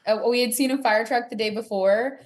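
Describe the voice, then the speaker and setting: A woman with a fairly high voice speaks as a guest in a casual interview. She tells stories conversationally, slipping into little bits of quoted dialogue. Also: steady tone, a little fast. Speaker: podcast guest, cornhole player.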